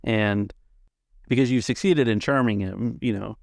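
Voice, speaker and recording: A man's voice: on the low side, unhurried, gravelly, a little mellow, no room sound, clean studio sound. A man casually narrating a tabletop roleplaying game as its game master.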